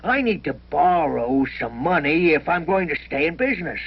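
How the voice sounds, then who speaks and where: A worried cartoon villager who makes nets, pleading his case. The voice is high and husky, with very lively swings in pitch. It comes through muffled, like an old film soundtrack. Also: moderate pace, exaggerated voice. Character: worried pleading male net-maker, a small-business villager in cartoon.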